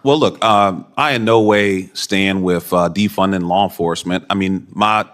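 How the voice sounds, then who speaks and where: An American man testifying as a hearing witness, defensive and halting, restarting his answers. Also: low voice, fairly bright tone. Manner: halting, deflecting, talks in restarts. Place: Senate hearing room.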